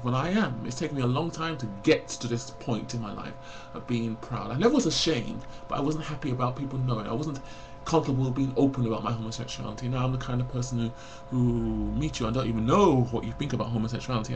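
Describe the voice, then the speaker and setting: A man's voice: mid-range voice, creaky, low-quality recording. A man of forty talking frankly and bluntly to a webcam in a personal confessional vlog, rambling and reflective. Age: 40s. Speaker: vlogger.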